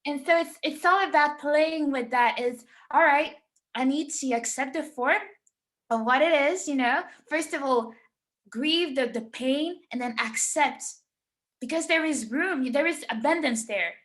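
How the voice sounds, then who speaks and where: Guest: earnest woman, a touch high, searching her words aloud. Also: measured pace, fairly bright tone. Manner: earnest, searching, repeats words while thinking. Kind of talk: reflective conversational talk.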